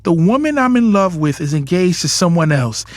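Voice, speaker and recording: A man's voice: high-pitched, sing-song, moderate pace, no echo. A man playing a guarded, lovesick young businessman in an audio drama, earnest and emotionally intense. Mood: defensive, hurt. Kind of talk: audio drama acting with first-person narration. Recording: studio voice over music bed. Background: music.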